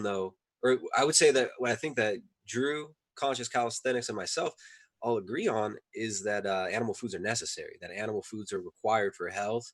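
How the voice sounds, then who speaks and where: A man's voice: on the low side, fairly bright tone, breathy edge, no echo. A man debating casually as a panelist on a live online show, earnest, emphatic and opinionated.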